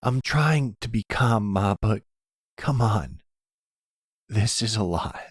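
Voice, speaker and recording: Here is a man acting as a hot-headed young son in an audio roleplay. He talks in blunt slang, exasperated but loyal to his family. His voice is pitched up, with a dry, close sound. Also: expressive, slow, dry recording. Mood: hurt, angry. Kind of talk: ASMR audio roleplay drama.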